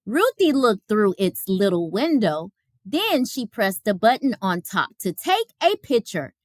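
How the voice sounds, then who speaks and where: A woman reading a children's picture book aloud as a storyteller, with expressive, careful phrasing. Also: medium pitch, a little slow, no room sound, studio quality.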